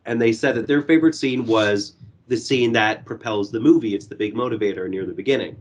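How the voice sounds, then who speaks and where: A young man reviewing a movie in casual podcast chat. His voice is hoarse and going, and he rambles with lots of fillers and swearing. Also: lowish voice, a little fast.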